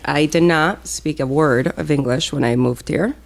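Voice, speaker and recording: A woman's voice: low voice, moderate pace, gravelly. A woman in her forties from Chile, Spanish her first language, chatting openly about her past as a podcast guest. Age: 40s. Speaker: school secretary guest. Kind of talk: casual podcast interview.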